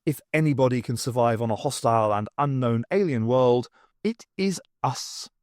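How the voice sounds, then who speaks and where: A man's voice: medium pitch, moderate pace, darkish tone, no echo, clean studio sound, lightly acted. A man voicing a self-assured male academic in an audiobook, speaking like a pompous orator. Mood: reassuring, self-satisfied.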